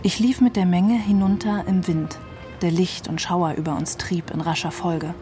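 A woman's voice: lowish voice, fairly even intonation, normal speed, dark tone. A woman giving a literary reading of a first-person novel. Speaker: reader of literary excerpts. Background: music.

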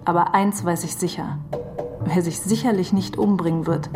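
A woman's voice: fairly low pitch, moderate pace, music in the background. A woman giving a scripted literary reading of memoir and novel passages. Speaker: reader of literary quotations.

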